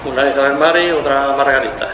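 Older grandfather talking haltingly, echoey. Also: medium pitch, fairly even intonation. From Spain. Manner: halting, repeating himself, simple phrasing. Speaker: interviewee, amateur musician. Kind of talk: casual recollection to camera. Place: indoor room, seated. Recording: echoey room with music underneath.

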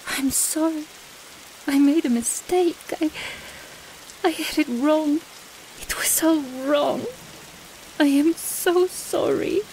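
Female vampire mistress played by a woman, elegant and menacing, unhurried theatrical delivery, hiss and rain behind. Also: sits high, darkish tone, poor audio. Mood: distraught. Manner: slow, theatrical, sprinkles French phrases. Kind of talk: audio roleplay drama acting.

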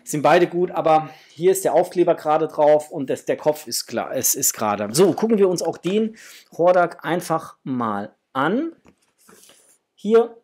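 Husky-voiced man, enthusiastic unboxing host. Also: on the high side, a little mellow. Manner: enthusiastic, effusive. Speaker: host of a toy collecting channel. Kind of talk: casual unboxing chat to viewers. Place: small room at home with framed posters, indoors.

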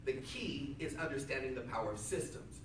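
Loud, emphatic man in echoey hall. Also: medium pitch, expressive, a little fast. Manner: loud, emphatic, repeats key lines to the crowd. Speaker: seminar speaker. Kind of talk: motivational seminar talk. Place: hotel conference room with seated audience. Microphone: far camera at back of room, no microphone. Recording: echoey room from far off with audience noise.

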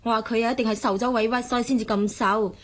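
A woman plays a bossy, suspicious wife in a radio drama. Her voice is fairly high, with a Hong Kong Cantonese accent, and she pushes her advice on everyone. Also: steady tone, rapid-fire, natural voice. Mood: insistent. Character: bossy, suspicious married woman, meddling friend.

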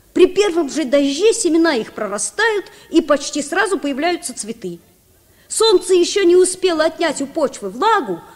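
High animated young captain, eager, instructive, old radio play, long hall reverb. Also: female voice. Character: Dick Sand, brave, knowledgeable boy sea captain. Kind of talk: old Soviet children's radio play, lecturing.

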